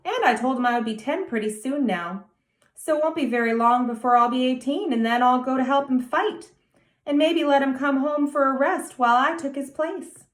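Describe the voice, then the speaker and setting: A woman's voice: high-pitched, normal speed, roomy sound, voice pushed up, close to an everyday voice. A woman voicing a serious, earnest small boy of nearly ten in a storybook reading. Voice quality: grave, emphatic childlike delivery.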